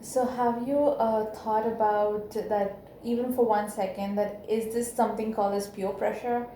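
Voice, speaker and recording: A woman's voice: medium pitch, level tone, normal speed, warm tone, slight background noise. A woman acting as a counselor, asking tentative, probing questions. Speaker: counselor in a role-play. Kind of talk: counseling session. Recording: echoey room recording.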